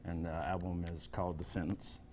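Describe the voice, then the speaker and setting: Very low-voiced laid-back musician. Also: male voice, flat delivery, fairly quick, darkish tone, slight background noise. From Kentucky. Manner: brief, laid-back answers with hesitations. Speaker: musician guest. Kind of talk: studio interview answers. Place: TV studio. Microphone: stand mic.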